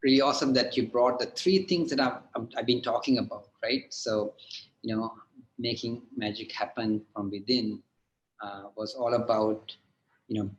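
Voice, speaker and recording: A man speaking as a guest mentor in a casual live interview over a video call. He hesitates often and lets his voice fade softly at the ends of his thoughts. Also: medium pitch, lo-fi sound. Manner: hesitant, many "uh" and restarts, trails off softly.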